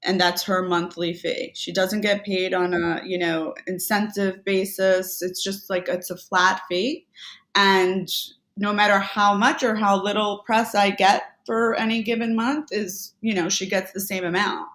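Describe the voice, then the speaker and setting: A talkative woman on a podcast, chatting easily with asides and quick self-corrections. Her voice sits at an ordinary middle pitch. The room around her rings with noticeable echo. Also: little pitch movement, a bit bright. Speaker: podcast guest, Amazon seller. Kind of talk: casual conversational interview.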